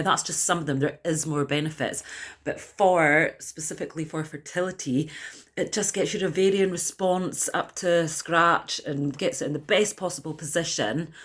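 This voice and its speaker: A woman in her forties with a deep voice, talking to camera in a chatty, rambling way full of fillers and restarts. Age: 40s. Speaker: wellness vlogger. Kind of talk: casual talk to camera.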